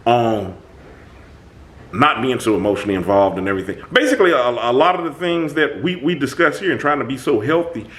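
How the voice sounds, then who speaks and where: Man, booming voice, lively swooping pitch, emphatic, restarts sentences, reflective monologue. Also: fairly quick. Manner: animated, emphatic, many restarts. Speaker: channel host. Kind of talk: reflective self-talk monologue.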